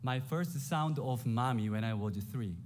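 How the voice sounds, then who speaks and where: A man in his forties with a Korean accent, preaching a sermon in an earnest, humble, measured way. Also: medium pitch, a little mellow, a little hiss or hum. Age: 40s. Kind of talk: sermon with scripture reading.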